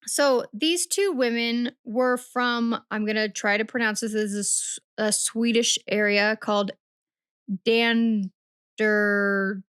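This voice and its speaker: A woman's voice: on the high side, steady tone, slow pace, a bit bright, clear, resonant tone, no echo. Chatty woman podcast co-host, dry sound. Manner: chatty, rambling, self-correcting. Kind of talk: casual true-crime podcast chat.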